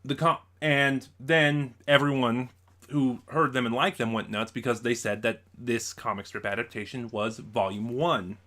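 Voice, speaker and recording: Guy doing a casual unboxing vlog, bright forward voice, rambles and over-explains stuff. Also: mid-range voice, steady tone, unhurried. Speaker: YouTube vlogger, collector and reviewer.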